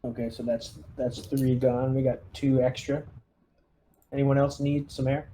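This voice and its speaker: A man with a soft, mellow voice at a middling pitch leads a tabletop game group in casual, organizing talk. The online voice chat recording has clear background noise. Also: flat delivery, unhurried. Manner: takes charge, organizing and directing the group. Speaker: player leading the squad in a tabletop roleplaying game.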